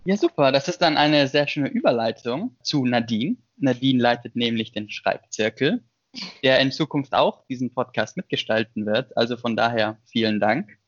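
A man's voice: mid-range voice, normal speed, dry recording. A man hosting a casual student podcast, introducing guests and asking questions, on a slightly muffled remote recording. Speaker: podcast host.

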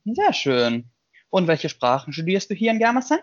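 Male podcast host with a higher-pitched voice. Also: wildly swooping pitch, normal speed, no room sound, muffled recording. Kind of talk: casual student podcast moderation.

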